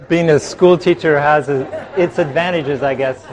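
A man's voice: on the high side, moderate pace, a crowd in the background. Chuckling man leading a group discussion. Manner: relaxed, chuckling, with restarts. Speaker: meditation group leader. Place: meeting room with a group.

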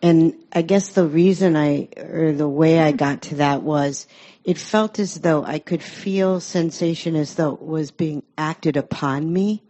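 A woman in the audience thoughtfully sharing an odd reflection, halting as she goes. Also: deep voice, warm tone.

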